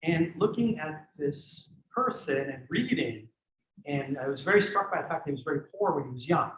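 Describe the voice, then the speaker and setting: A man's voice: on the high side, lively intonation, moderate pace, a bit bright. Greek-born man lecturing in an echoey hall. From Greece. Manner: thoughtful, digressive, with frequent restarts. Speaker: guest lecturer on history. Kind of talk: lecture and audience Q&A. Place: community center lecture room. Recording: echoey room, dull lo-fi sound.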